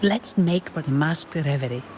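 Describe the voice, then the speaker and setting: An actress playing a composed, practical female investigator in a video game, explaining things in a measured, courteous way. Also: low voice, lightly acted. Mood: concerned, thoughtful. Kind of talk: story video game voice acting.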